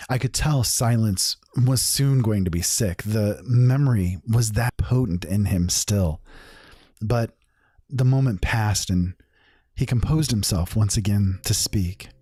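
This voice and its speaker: An actor playing a sombre, sympathetic man who gravely narrates a fantasy tale in a measured, reflective way. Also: on the low side, warm tone, no room sound, clean studio sound. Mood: uneasy, watchful. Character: sombre, sympathetic male listener retelling a companion's confession. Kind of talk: reflective first-person fantasy storytelling.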